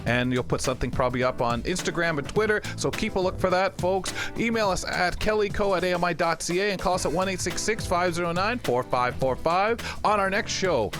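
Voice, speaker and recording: A man's voice: high voice, animated, brisk, hardly any pauses. A man hosting a talk show, chatting with guests and addressing listeners in a radio sign-off style. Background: music.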